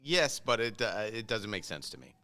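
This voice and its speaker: A man's voice: lowish voice, very animated, moderate pace, bright, forceful tone. A man co-hosting a casual talk show in a car service center. He needles the host with contrarian, offbeat arguments. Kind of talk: casual banter.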